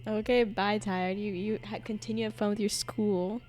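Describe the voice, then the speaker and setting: A woman's voice: mid-range voice, fairly even intonation, normal speed, darkish tone, low-quality recording. A woman streaming a game, giving casual, sarcastic commentary and laughing often.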